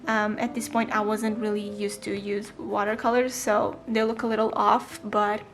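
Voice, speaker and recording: A woman's voice: mid-range voice, little pitch movement, moderate pace. A twenty-year-old woman chatting casually in a voice-over, with frequent ums and small self-corrections. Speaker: planner vlogger. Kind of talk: casual voice-over flip-through.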